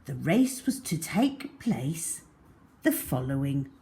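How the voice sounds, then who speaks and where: A woman storyteller reads for young children with theatrical care. Her pace is very slow and her pitch moves in lively swoops. Phrases often settle into a hush at the end. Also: gravelly, slight background noise. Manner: theatrical, deliberate, with hushed phrase endings.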